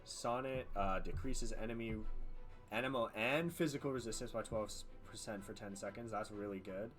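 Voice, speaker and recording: A man's voice: on the low side, normal speed, fairly bright tone. A man doing casual live game commentary, thinking aloud and swearing freely. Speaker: game streamer. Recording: music behind the voice. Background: game music.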